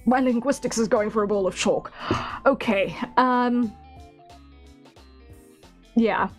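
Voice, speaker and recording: High-voiced, slow-paced tired woman streamer. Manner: rambling, giggly, self-deprecating. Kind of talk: casual livestream chat to viewers. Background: background music.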